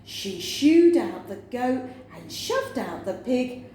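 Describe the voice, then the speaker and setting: A woman reading a children's picture book aloud as a storyteller, with an expressive sing-song delivery. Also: fairly high pitch, takes it slowly. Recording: very echoey room, faint noise.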